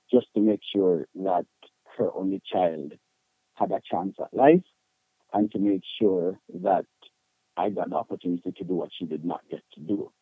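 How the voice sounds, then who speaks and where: A man's voice: fairly low pitch, no room sound, phone-quality sound. A man with a Jamaican accent telling personal stories as an interview guest, candid and emphatic in colloquial speech. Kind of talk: personal storytelling in conversation.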